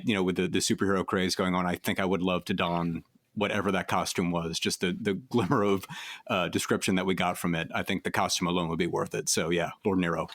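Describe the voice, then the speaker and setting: A man with a somewhat low voice speaks as an actor being interviewed. His talk is casual and thoughtful, building each answer piece by piece. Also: fairly bright tone.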